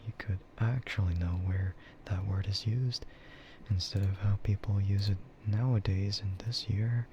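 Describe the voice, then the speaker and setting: Male ASMR streamer: deep, slow, breathy half-whisper, laid-back rambling, slightly muffled recording with faint noise. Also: fairly even intonation. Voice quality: soft, breathy, often slipping into a whisper. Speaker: streamer and VTuber host. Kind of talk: ASMR casual chat.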